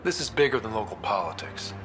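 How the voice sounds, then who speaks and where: A man's voice: fairly low pitch, very animated, quick pace, noisy background. A man playing a confident, dry young space explorer in a video game, matter-of-fact and wry. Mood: firm. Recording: music and effects behind.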